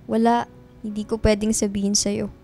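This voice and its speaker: A woman's voice: medium pitch, level tone, moderate pace, gravelly, dark tone, soft background music, natural voice. An actress playing a young woman who is a loyal, guarded best friend, casual and reassuring, in a radio drama. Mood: concerned, guarded. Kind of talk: radio drama acting in casual Filipino talk.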